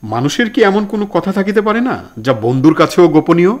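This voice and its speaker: A man playing a mock-reasonable acquaintance who makes measured, pointed remarks in a Bengali audio drama. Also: on the high side, very animated, darkish tone. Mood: insinuating.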